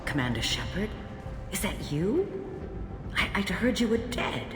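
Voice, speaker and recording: A woman playing a courteous, composed reformed crime boss in a video game, speaking in a measured, formal, articulate way. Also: fairly low pitch, big pitch swings, over music. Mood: polite surprise. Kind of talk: video game dialogue acting. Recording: roomy long reverb, clear background noise.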